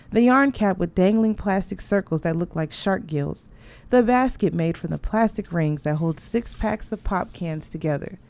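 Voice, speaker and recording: Mellow-voiced woman reading a novel aloud. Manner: lively dialogue voices, rising high for the mother and sisters. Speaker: audiobook reader.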